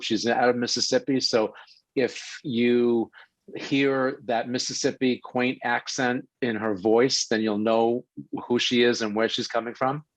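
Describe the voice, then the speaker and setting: A man's voice: medium pitch, no room sound, rough recording. A man with a New York accent hosting a health show, introducing a guest in an upbeat, chatty way. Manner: upbeat, chatty, spells things out slowly. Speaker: host. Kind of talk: podcast host introduction.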